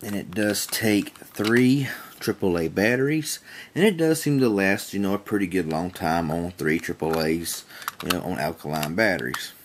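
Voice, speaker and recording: Low-voiced man, folksy product demo. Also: lively intonation, moderate pace, noisy background. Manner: plain-spoken, folksy, rambling and repetitive. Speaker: reviewer demonstrating a small weather radio. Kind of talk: casual product demonstration.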